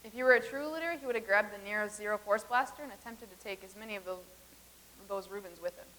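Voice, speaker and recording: A woman's voice: mid-range voice, quick pace, fairly bright tone, natural voice. A woman reading the part of a visitor from the far future in a staged comedy reading, explaining everything in an earnest, matter-of-fact way.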